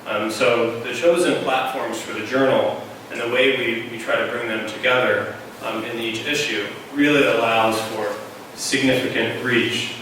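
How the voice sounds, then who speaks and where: A man's voice: medium pitch, fairly even intonation, normal speed, few pauses, pushed and bright. A man presenting a journal's plans to a conference audience, explaining things steadily with frequent "um" fillers. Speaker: journal team member presenting. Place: conference room. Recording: echoey hall, distant mic, noisy.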